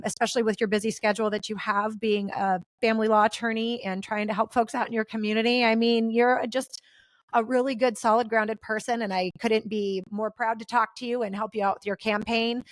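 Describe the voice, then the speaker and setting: High-voiced American woman hosting a podcast. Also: no room sound. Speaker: podcast host. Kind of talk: casual chatty interview.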